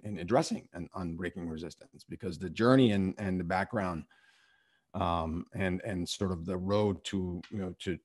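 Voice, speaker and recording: Brief: low-pitched man from Syria, interview guest, stumbling and earnest. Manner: halting, many restarts and fillers. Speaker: podcast host and real estate investor. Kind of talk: conversational interview.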